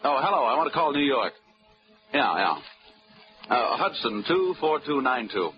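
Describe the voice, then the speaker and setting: A breezy, wisecracking investigator, played by a man in a 1940s radio drama. His voice rises and falls with lively swoops. It sounds muffled and dull, like an old broadcast, with music underneath. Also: mid-range voice, normal speed, natural voice. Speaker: insurance investigator.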